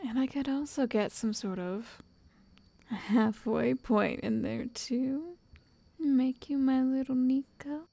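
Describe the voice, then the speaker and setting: Woman acting a slow, breathy, possessive witch. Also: high-pitched, no echo, exaggerated voice. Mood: dreamy, playful. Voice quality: breathy, soft, often whispering. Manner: slow, tender, condescending endearments. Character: possessive, lovestruck yandere witch, coaxing and controlling. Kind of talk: whispered ASMR roleplay addressed to the listener.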